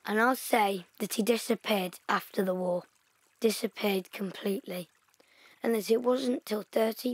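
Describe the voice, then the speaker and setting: A woman voicing a thoughtful young boy, speaking softly in a radio play, with faint music behind. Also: fairly high pitch, breathy edge, no room sound. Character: young boy, quiet and thoughtful, missing his father.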